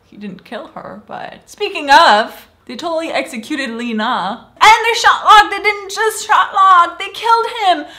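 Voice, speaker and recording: A woman's voice: very high-pitched, unhurried, few pauses, bright, forceful tone. A young woman from Switzerland giving a casual, enthusiastic review of a TV show, often acting out lines from it, in a small room. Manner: enthusiastic, playfully acts out quoted lines.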